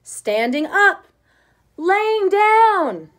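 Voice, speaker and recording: A woman voicing stubborn townspeople who shout and shriek at each other in a storybook. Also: extremely high pitch, big pitch swings, extremely slow, pitched above the performer's own voice, heavily acted.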